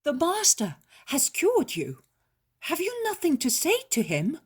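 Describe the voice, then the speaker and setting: A woman's voice: sits high, huge pitch swings, unhurried, pitched above the performer's own voice, heavily acted. A woman doing an urgent, earnest male disciple. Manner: urgent, pleading.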